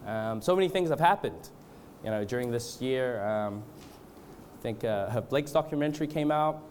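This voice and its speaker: A man from Australia and Canada with a cold, speaking as a preacher in an informal, halting, hesitant way. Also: medium pitch, lively intonation, a little mellow, slight background noise. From born in Australia, moved to Canada. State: has a cold. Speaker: guest preacher at a church revival gathering. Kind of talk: informal sermon with congregational prayer.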